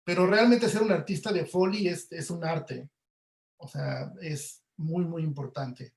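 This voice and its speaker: A man giving an informal, halting lecture, explaining as he goes. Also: on the high side, gravelly. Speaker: audio producer giving a talk. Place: indoor room, online webinar. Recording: echoey room on webinar audio.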